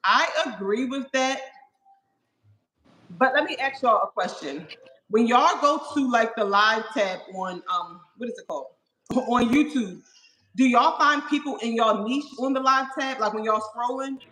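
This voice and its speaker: A woman's voice: a little high, a little slow, bright, forceful tone. A woman hosting a casual live stream, agreeing often and putting questions to her guest and viewers. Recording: echoey room.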